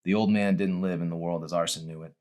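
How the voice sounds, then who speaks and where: Male audiobook narrator, low register, author reading scripted fiction. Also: fairly even intonation, fast, darkish tone. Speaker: author reading own novel.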